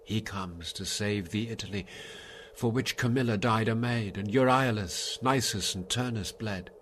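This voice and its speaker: A man's voice: fairly low pitch, a little slow, fairly bright tone, pitched above the performer's own voice. A man voicing the grave ghost of an ancient Roman poet, guiding with solemn, oratorical delivery in a story reading. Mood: prophetic. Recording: noisy, hissy background.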